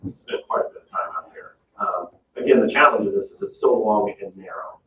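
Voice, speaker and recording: A man's voice: fairly low pitch, a little fast, fairly bright tone, slight background noise. A man speaking informally as a design consultant, explaining plans in a meeting. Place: meeting room, mic at a distance. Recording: roomy, distant mic, dull sound.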